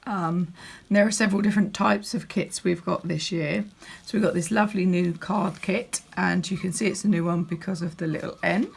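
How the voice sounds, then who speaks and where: A woman with a croaky voice, chatting casually as she walks through a crafting catalogue, with lots of ums and restarts. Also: lowish voice, steady tone, normal speed. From United Kingdom. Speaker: crafting demonstrator. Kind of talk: casual product walkthrough.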